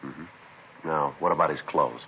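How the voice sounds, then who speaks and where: On a noisy old 1950s radio recording, a terse police detective with a very deep voice. He is clipped, businesslike and deadpan, questioning and narrating with flat matter-of-fact economy. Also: moderate pace, phone-quality sound.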